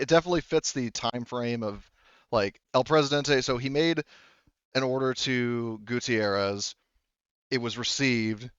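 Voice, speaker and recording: A man narrating a tabletop roleplaying game as game master, casually and haltingly, rephrasing as he goes. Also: medium pitch, a bit bright, clean tone, dry recording, dull audio.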